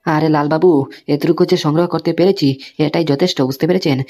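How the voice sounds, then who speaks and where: A comic cartoon goods supplier , acted by a man, rattles off reassurances in a fairly high male voice. The patter is extremely quick, repetitive and placating, like a salesman soothing his customers. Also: fairly even intonation, dark tone.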